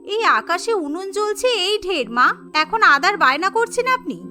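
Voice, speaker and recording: A woman voicing a plucky, caring young wife of a village household in a cartoon, spirited and brisk. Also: very high-pitched, expressive, bright, forceful tone, faint music behind, heavily acted. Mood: exasperated. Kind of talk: cartoon character dialogue.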